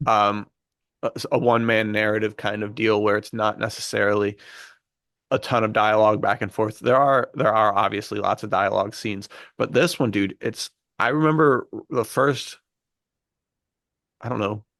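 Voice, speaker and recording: A man's voice: lowish voice, steady tone, moderate pace, gravelly, fairly bright tone, dry recording, studio quality. A man co-hosting a podcast in casual chat, speaking in an earnest, informal, enthusiastic way. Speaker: podcast co-host and fiction writer.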